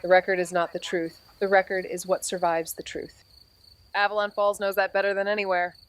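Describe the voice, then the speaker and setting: A wry, world-weary female investigator played by a woman in a noir mystery podcast. Her voice sits at a middle pitch, and she speaks in a measured, deadpan way, as if telling a grim case. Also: natural voice. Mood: somber, reflective. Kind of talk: scripted noir audio drama, narration and dialogue.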